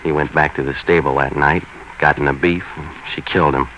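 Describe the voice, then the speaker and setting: An actor playing a cynical, world-weary private investigator, narrating in terse, deadpan slang in a 1940s radio noir style. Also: male voice, extremely low pitch, brisk. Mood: dry, matter-of-fact. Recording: muffled, hissy 1940s radio recording.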